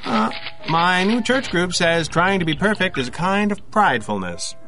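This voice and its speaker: A man's voice: high voice, animated, moderate pace, over music. A man playing a lonely, self-doubting perfectionist with a hesitant manner in an audio drama. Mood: resigned, earnest.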